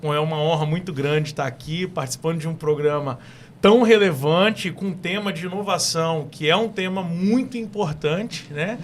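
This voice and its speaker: A man's voice: on the high side, normal speed, a little hiss or hum. A young Brazilian man from Espírito Santo with an Espírito Santo accent, a guest on a talk show who speaks eagerly and often repeats or restarts his phrases. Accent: Brazilian Portuguese from Espírito Santo. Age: young adult. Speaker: guest entrepreneur.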